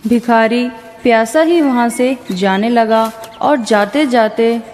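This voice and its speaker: A woman's voice: a little high, takes it slowly, darkish tone. A woman narrating a scripted horror story as its storyteller. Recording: long reverb with faint music. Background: faint background music.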